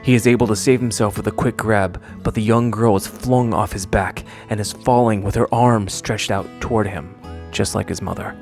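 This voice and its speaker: A man delivers scripted video-essay narration in a fairly low voice. Faint music plays underneath as he tells the story. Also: fairly even intonation, moderate pace, creaky, a little mellow.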